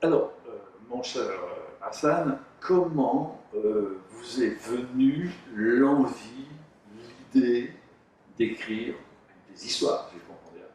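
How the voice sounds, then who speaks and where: A man's voice: medium pitch, slow, a little mellow. A man hosting an interview, asking meandering questions with many restarts and fillers. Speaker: interviewer host. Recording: echoey room, distant mic, faint noise.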